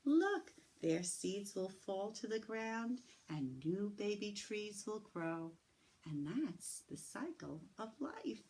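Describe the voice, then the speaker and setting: Slow, sing-song woman reading a story. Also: medium pitch, a little hiss or hum. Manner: slow, sing-song teacherly voice with lively swoops and drawn-out words. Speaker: teacher reading aloud to children. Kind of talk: storybook read-aloud lesson for young children.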